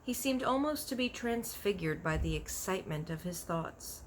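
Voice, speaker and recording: A woman's voice: fairly low pitch, expressive, normal speed, a little hiss or hum, rough recording. Woman reading a children's story, very expressively. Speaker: storybook reader. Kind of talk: animated read-aloud narration of a children's novel.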